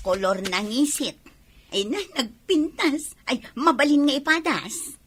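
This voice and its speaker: A woman's voice: sits high, animated, unhurried, a bit bright, husky, exaggerated voice. A woman playing a brazen, evasive neighbour who lives on credit in a comedy radio drama, with an Ilocano accent. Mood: wheedling.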